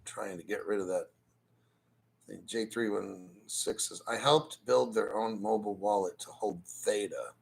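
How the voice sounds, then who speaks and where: A man hosting a crypto livestream, ranting bluntly and profanely, clearing his throat often and reading viewer comments aloud. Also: fairly low pitch, measured pace. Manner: reads viewer chat donations aloud. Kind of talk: casual livestream rant.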